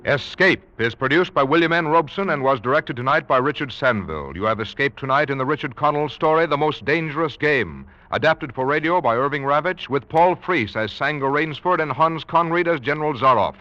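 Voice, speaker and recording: Higher-pitched male 1940s radio announcer. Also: expressive, brisk, hardly any pauses, bright, forceful tone, no echo. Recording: muffled 1940s radio broadcast recording. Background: orchestral music.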